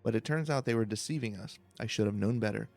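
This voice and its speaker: A man reading game dialogue aloud in a relaxed let's play style, now and then tripping over a phrase. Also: on the low side, fast talker, vocal fry, soft background music. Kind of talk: reading game dialogue aloud in a calm, slow-paced playthrough.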